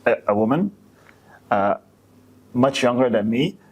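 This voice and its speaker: A male podcast co-host talks in an informal, chatty style. His voice sits at an average pitch for a man. He speaks haltingly, often starting a sentence over. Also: animated. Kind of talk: casual chat.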